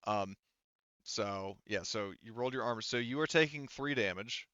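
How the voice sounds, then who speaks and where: A man's voice: lowish voice, moderate pace, pushed and bright, smooth, no room sound, muffled recording. A man working as game master, narrating a tabletop roleplaying game casually and profanely, thinking aloud as he works through the rules.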